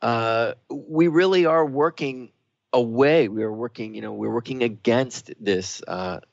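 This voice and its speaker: A man's voice: mid-range voice, measured pace, gravelly, no room sound. A man co-hosting a radio show, talking in an earnest, explanatory and reflective way. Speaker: radio co-host. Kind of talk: reflective talk-show discussion. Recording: dull, dry radio audio.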